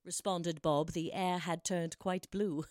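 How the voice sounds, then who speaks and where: A woman's voice: lowish voice, steady tone, takes it slowly, a little mellow, dry recording, clean studio sound. A woman co-hosting a podcast, narrating a rhyming comic story in a playful, theatrical way.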